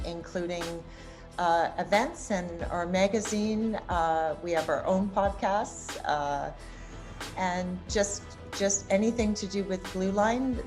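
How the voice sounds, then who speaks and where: Female interview guest, slightly low pitch, conversational presenter, faint music. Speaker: sales manager, interview guest.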